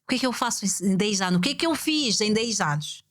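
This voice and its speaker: A woman guest speaks in a reflective, measured way, with a voice of ordinary pitch for a woman. She stretches some phrases slowly, poses rhetorical questions and repeats her main points for emphasis. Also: animated, fairly bright tone. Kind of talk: reflective podcast conversation.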